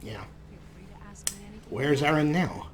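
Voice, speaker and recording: A man's voice: a little high, lively intonation, takes it slowly, a little hiss or hum. A man hosting a let's play, giving sarcastic, playful commentary and role-playing his character. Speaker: host.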